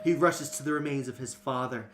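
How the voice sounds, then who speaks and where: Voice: medium pitch, fairly quick. A podcast host reading a fantasy novel aloud and bantering casually, prone to giggling. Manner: giggly, breaking into laughter. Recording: music behind the voice.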